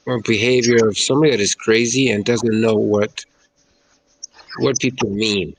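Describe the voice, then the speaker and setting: A man giving a casual shout-out on a group gaming chat, haltingly searching for words. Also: on the low side, fairly even intonation, gravelly, low-quality recording. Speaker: guest on a gaming show.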